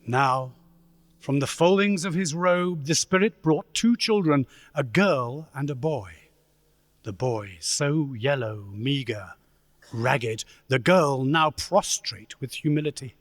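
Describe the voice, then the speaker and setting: A man performing as the narrator of a radio play, solemn and measured in his dramatic storytelling. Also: medium pitch, no echo, studio quality, natural voice.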